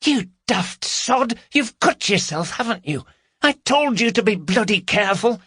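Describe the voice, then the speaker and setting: Audiobook role: nervy female housebreaker played by a man, pitched high, swooping, urgent and scolding. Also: very animated, unhurried, a bit bright, slightly rough, dry recording, studio quality, exaggerated voice. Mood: angry scolding. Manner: urgent, complaining, swearing. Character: anxious, scolding, foul-mouthed young woman burglar.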